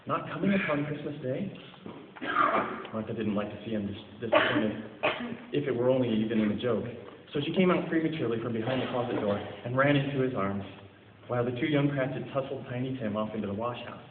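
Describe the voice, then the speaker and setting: A man's voice: mid-range voice, fast. A man giving a dramatic storybook reading in a theatrical, phrase-by-phrase delivery. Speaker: story reader. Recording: tinny, noisy, echoey hall recording.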